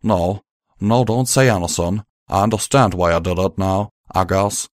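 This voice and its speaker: A man voicing a rough-spoken young male pony with a drawl, strained and emotional. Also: deep voice, moderate pace, no room sound, studio quality, natural voice.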